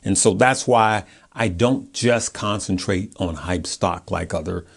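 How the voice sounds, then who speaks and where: A man's voice: sits low, unhurried, gravelly, breathy edge. An American man talking casually and informally to his viewers as a stock video host, in a chatty, colloquial way. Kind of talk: casual chat, giving stock commentary from notes.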